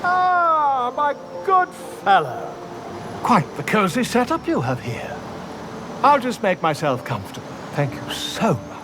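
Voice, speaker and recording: An actor playing a pompous, excitable self-styled monster scholar in a fantasy video game, speaking in a florid, self-important, theatrical way. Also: male voice, very high voice, a little slow, background noise, heavily acted. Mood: jovial, grand.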